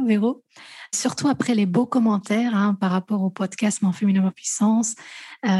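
A woman's voice: medium pitch, husky, no room sound. A woman hosting an interview podcast, welcoming her guest and often hesitating with fillers as she talks. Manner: warm welcoming, many hesitations. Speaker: podcast host.

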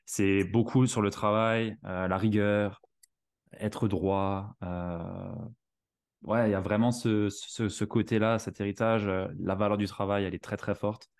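Host from Alsace: man, very low voice, hesitant, filler-heavy. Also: steady tone, measured pace, a little mellow. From Alsace, France. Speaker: podcast host. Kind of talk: conversational interview.

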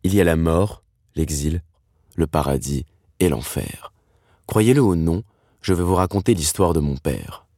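A man in his thirties with a very low voice, a dreamy writer looking back on family history. He tells it intimately and reflectively, as in a scripted podcast drama. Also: measured pace, warm tone, no echo, clean studio sound, heavily acted. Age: 30s. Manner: reflective, storytelling. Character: Jacob, dreamy thirty-something screenwriter son telling his father's story.

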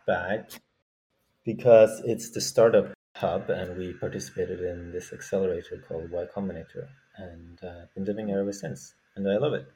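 Man from Norway, low voice, casual interview answers, halting delivery, startup founder. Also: fairly even intonation, mellow, rough recording.

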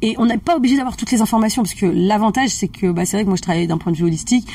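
A woman's voice: mid-range voice, racing, darkish tone, recorded from a distance, slight background noise. A woman practitioner explaining family constellations in casual conversation. She checks in often with her listener. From family of Algerian roots.

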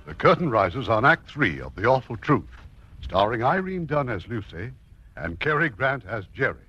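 A man's voice: medium pitch, big pitch swings, moderate pace, slight background noise. A man narrating a 1950s radio drama as its announcer, formal and theatrical in scripted style. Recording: muffled 1950s radio broadcast. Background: music.

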